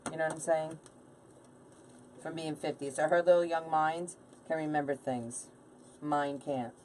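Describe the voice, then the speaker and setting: A woman in her late forties hosts a live craft stream in an informal, chatty way. Her voice sits on the low side and is picked up from a distance, with clear background noise. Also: fairly even intonation, measured pace, a little mellow. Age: late 40s. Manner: chatty, informal, banters with viewers and helper. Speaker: craft livestream host. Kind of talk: casual live chat while crafting.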